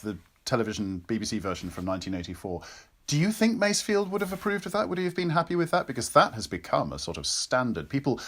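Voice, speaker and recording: A man with a fairly low voice hosts an interview. He speaks fluently and effusively, in a conversational way with emphatic phrasing. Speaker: interviewer and host, actor.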